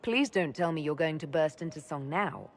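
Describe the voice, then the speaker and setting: An actress playing a steady, dry-witted heroic leader in a video game, speaking directly. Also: female voice, sits low, normal speed. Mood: dry, wary. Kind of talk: video game dialogue acting.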